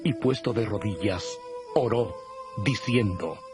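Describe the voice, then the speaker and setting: A man giving a solemn, measured narration of scripture in a dramatized audio production. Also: on the low side, music in the background.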